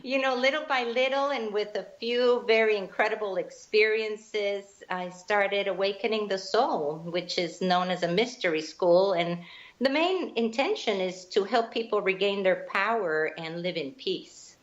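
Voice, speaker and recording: A woman's voice: medium pitch, unhurried. A woman from Guatemala, telling her life story as an interview guest, earnest and reflective. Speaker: guest, spiritual teacher. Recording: dull lo-fi line.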